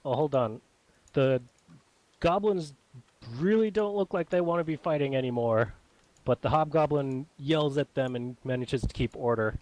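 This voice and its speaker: A man's voice: medium pitch, moderate pace, darkish tone, dry recording, low-quality recording. A man acting as game master, explaining rules and narrating the action in a casual, informal way. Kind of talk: running a tabletop game, casual.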